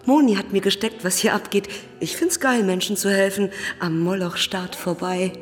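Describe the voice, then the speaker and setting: An actress plays a blunt, outspoken woman in a radio drama. She talks in a slangy, colloquial way at a middle pitch. Long reverb and music surround the voice. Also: normal speed, close to an everyday voice. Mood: impressed, eager. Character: brash, slangy, outspoken woman, enthusiastic newcomer.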